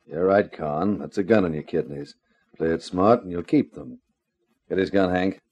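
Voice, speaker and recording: Menacing nightclub racketeer, 1950s radio drama: dark, husky, drily sardonic. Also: male voice, low voice, moderate pace, highs cut off. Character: smooth-talking, menacing nightclub gangster boss.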